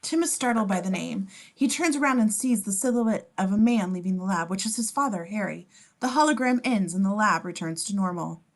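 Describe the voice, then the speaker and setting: A woman's voice: medium pitch, lively intonation, a little fast. A woman narrating as scene description reader, reading screenplay stage directions aloud at a table read.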